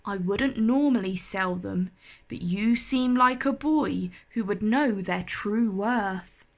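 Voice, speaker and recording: A woman's voice: medium pitch, slow. A woman voicing a kindly, thoughtful old man in a children's storybook, speaking persuasively.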